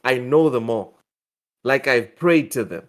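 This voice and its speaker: Excitable man chatting about games. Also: medium pitch, measured pace. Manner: excitable, repeats words while thinking. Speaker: podcast co-host. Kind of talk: casual gaming news chat.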